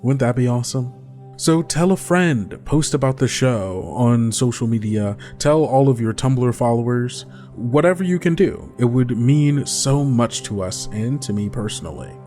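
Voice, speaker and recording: A man's voice: mid-range voice, lively intonation, normal speed, warm tone, soft background music. Man hosting a podcast, upbeat promo read. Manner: friendly, direct appeals to listeners. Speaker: podcast host.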